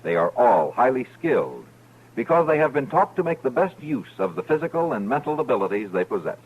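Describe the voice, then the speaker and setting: A man's voice: mid-range voice, very animated, fairly quick, a little hiss or hum. A man announcing in formal, dramatic 1950s radio style, reading from a script. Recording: dull old radio recording. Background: music.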